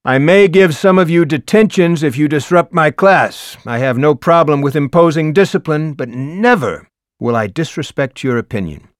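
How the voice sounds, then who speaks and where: A man voicing a theatrical, flamboyant high school English teacher who declaims and lectures with grand emphasis. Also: expressive, normal speed, no echo, clean studio sound, natural voice. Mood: declaiming. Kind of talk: audiobook character voice.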